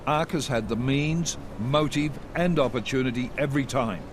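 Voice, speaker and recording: An actor playing a stern, controlled intelligence chief in a video game, clipped and authoritative. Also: male voice, medium pitch, normal speed, background noise, close to an everyday voice. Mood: firm. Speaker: agency director briefing agents. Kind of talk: video game cutscene acting.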